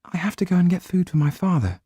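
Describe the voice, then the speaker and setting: A man voicing a shy, anxious, wistful young gentlewoman in an audiobook, with a soft, breathy, hesitant and refined delivery. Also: high voice, lively intonation, fast, no room sound, studio quality, pitched above the performer's own voice. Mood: shy wonder. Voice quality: soft, breathy, at times whispered.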